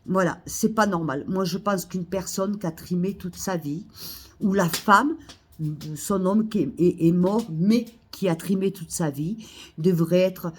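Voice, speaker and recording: A woman's voice: lowish voice, a little slow, slight background noise. Indignant woman ranting with a cold. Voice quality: hesitant restarts and fillers. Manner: indignant, repetitive, rising to loud outbursts. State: has a cold, coughing. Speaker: vlogger. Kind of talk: ranting monologue to camera. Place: Almeria, Spain.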